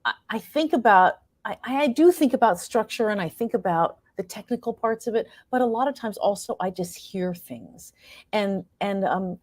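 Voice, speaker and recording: A woman's voice: medium pitch, expressive, normal speed, darkish tone, no echo, clean studio sound. A middle-aged American woman talking reflectively as an interview guest, animatedly acting out her own thoughts as she tells stories. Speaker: guest, playwright and actor.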